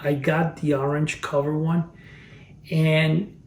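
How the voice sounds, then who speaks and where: A man's voice: on the high side, little pitch movement, slow pace, echoey room, distant mic. A Cuban-born man in his fifties chatting casually as he reviews old collectibles, rambling and restarting his sentences. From Cuba. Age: 50s. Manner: rambling, restarts phrases, chuckles at his memories. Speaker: collector reviewing vintage items. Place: cluttered storage room.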